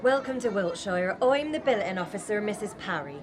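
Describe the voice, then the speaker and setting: A woman playing a brisk female billeting officer receiving evacuee children in a wartime radio play. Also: medium pitch, animated, faint music behind, close to an everyday voice. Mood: brisk, official. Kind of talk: radio drama acting. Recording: crowded hall noise behind voice.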